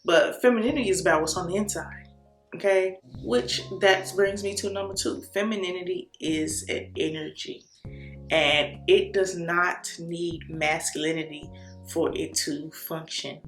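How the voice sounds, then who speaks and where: A young woman with a Chicago accent, talking to the camera in an energetic, slangy way and laughing often. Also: lowish voice, a little slow. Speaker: vlogger. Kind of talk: casual talk to camera. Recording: echoey room with soft guitar music.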